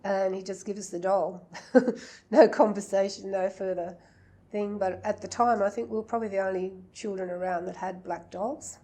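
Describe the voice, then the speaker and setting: An older woman speaking reflectively and haltingly in a documentary interview. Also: mid-range voice, steady tone, warm tone.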